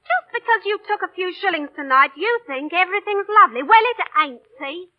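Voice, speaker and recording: Young cockney busker girl, high voice, blunt, spirited, defiant, 1940s radio play, muffled old recording. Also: expressive, brisk. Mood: fed up, scolding. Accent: London cockney. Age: young adult. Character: feisty, ambitious young London street dancer, quick to argue. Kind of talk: 1940s radio drama acting. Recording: dull vintage radio broadcast recording.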